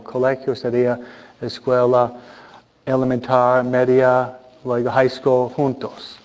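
Slow, halting priest preaching. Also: male voice, mid-range voice, a little mellow, dull audio. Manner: unhurried, hesitant, frequent rhetorical questions. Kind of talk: conversational sermon with humor.

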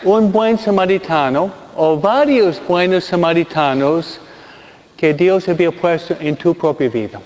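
High-pitched, slow-preaching man in his sixties. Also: a little mellow. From Michigan, United States. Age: 60s. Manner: deliberate, repeats phrases for emphasis. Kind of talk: sermon with personal anecdote. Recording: dull sound in long-reverberant hall.

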